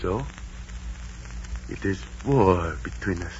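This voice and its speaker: An actor playing a proud, devout Aztec emperor with a stately, solemn, formal manner in 1940s radio drama style. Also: male voice, sits low, animated, takes it slowly. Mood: resolute. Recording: muffled, noisy 1940s radio recording.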